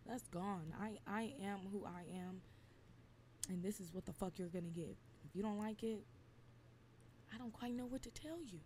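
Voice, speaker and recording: Brief: twenties woman, dark mellow tone, slight rasp, blunt and emphatic, low faint hiss behind. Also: normal speed, long pauses. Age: 20s. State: slightly raspy from lack of sleep. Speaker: podcast host. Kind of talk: casual venting monologue.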